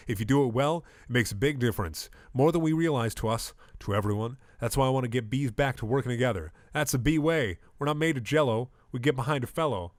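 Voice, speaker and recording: A small young bee hero from an animated film, acted by a man, plucky and neurotic. He speaks at an ordinary male pitch with an excitable, emphatic delivery and a wisecracking streak. The sound is dry and free of room. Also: no room sound, natural voice.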